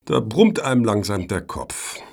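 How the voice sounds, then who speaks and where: An actor playing a blunt, sardonic male panel member in a radio satire, with a husky voice and needling manner. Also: lowish voice, very animated, unhurried, a little mellow, full character voice. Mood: grumbling.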